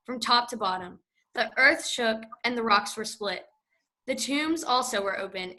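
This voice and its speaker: A woman narrating a scripture passage in church, reading in a steady, clear way. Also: fairly high pitch, poor audio. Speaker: scripture reader.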